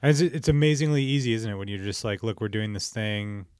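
A man's voice: fairly low pitch, fast, a little mellow, smooth, dry recording, clean studio sound. A man hosting a podcast in casual, bantering chat, with the odd swear word. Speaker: podcast host.

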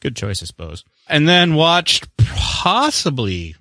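A man's voice: on the high side, very animated, takes it slowly, pushed and bright, no echo, studio quality. A man co-hosting a podcast, chatting casually in a rambling, wry way about movies and books. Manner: rambling, wry, self-deprecating. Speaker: podcast co-host. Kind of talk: casual chat.

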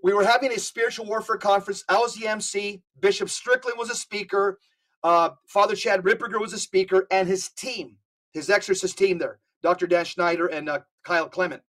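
Guest man, earnest and emphatic, stumbles and restarts as he presses his point. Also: high-pitched. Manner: earnest, repeats and restarts phrases. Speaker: podcast guest. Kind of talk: emphatic conversational commentary. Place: California.

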